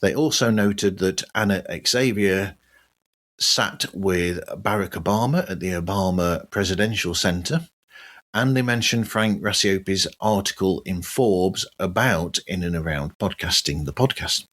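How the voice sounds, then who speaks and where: A man hosting a podcast, reading a scripted news roundup in a measured, deliberate way. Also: sits low, fairly bright tone. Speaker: podcast host.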